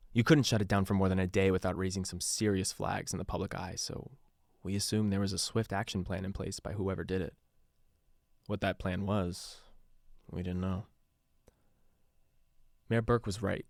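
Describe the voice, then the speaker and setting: An actor playing a sober radio journalist, narrating a strange island mystery in a reflective, matter-of-fact, slightly wry first person. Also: male voice, sits low, creaky, no room sound, clean studio sound.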